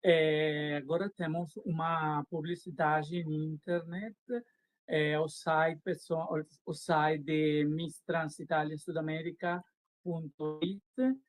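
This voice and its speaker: A man with an Italian accent, a pageant organizer speaking halting, simple Portuguese over a video call. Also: fairly high pitch, level tone, no room sound. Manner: halting, simple phrasing, many pauses.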